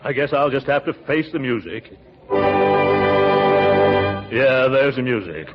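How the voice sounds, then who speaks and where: An actor playing a blustering, chuckling middle-aged uncle in a flustered way, in 1940s radio comedy style. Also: male voice, medium pitch, expressive, a little slow, over loud music, natural voice. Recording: noisy, dull 1940s radio recording.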